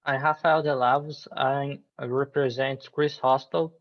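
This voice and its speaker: A man's voice: medium pitch, level tone, normal speed. A man from Brazil, a student presenter, reading a scripted presentation over a video call in a formal, recited way. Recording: dull lo-fi call audio.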